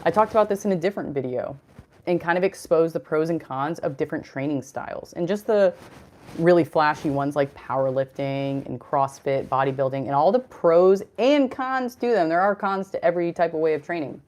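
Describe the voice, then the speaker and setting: Deep-voiced woman, chatty livestream host. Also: a little fast, darkish tone. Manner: chatty, rambling, reads chat comments aloud. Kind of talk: casual livestream chat.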